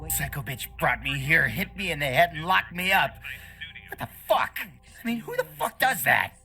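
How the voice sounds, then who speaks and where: A woman's voice: low voice, very animated, normal speed, vocal fry, sharp tone, exaggerated voice. A woman playing a brash, foul-mouthed, agitated captive in a video game, with music behind her. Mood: furious.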